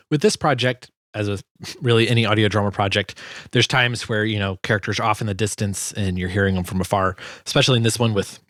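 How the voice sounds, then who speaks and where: A man with a fairly low voice explains his work in an informal tutorial. He talks hesitantly, thinking aloud, and the recording is close and dry. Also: a bit bright, no room sound. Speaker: sound designer presenting a tutorial. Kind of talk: casual screen tutorial narration.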